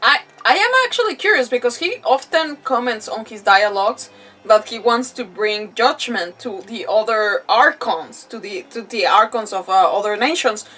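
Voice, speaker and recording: High-voiced woman streamer, a little distant. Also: lively intonation, sharp tone. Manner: halting, giggly, thinks aloud. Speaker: game livestreamer. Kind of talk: casual live gameplay commentary.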